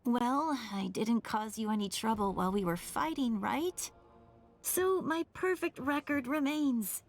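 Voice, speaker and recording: A woman's voice: on the high side, expressive, measured pace. A woman voicing a spirited, cocky young healer and fighter in a video game, with a playful, eager manner. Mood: playfully defensive.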